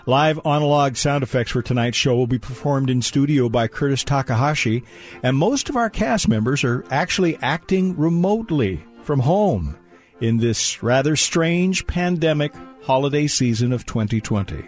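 A man's voice: medium pitch, animated, normal speed, faint music behind. A man announcing a radio drama in a formal, old-time broadcaster style, reading a scripted introduction.

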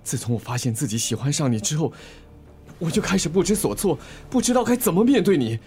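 A man's voice: on the high side, big pitch swings, quick pace, a little mellow. An actor playing an anxious, guilt-ridden man in love, earnest and emotional in a radio drama. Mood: guilty, earnest. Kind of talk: Chinese radio drama acting.

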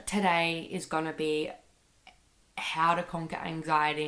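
Woman, low creaky flat voice, head cold, chatty podcast host in an echoey room. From Australia. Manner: chatty, rambling, lots of fillers like "like" and "um". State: sick with a bad cold. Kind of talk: casual solo podcast monologue. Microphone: computer microphone.

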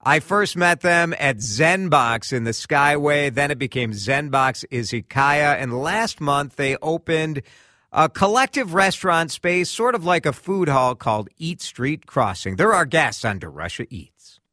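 Bright-voiced radio host, man. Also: on the high side, expressive, a little slow, no echo, studio quality. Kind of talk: radio interview. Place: radio studio.

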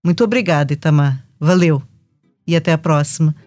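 A Brazilian-born woman journalist hosting a podcast interview, reflective and warm, often restarting her phrases. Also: low voice, a little fast, no room sound, faint music behind.

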